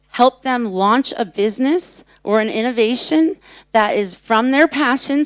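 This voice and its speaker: A woman's voice: fairly high pitch, clear, resonant tone, no echo. A woman who runs children's workshops, speaking earnestly and emphatically on a conference panel. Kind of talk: panel talk. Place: conference stage.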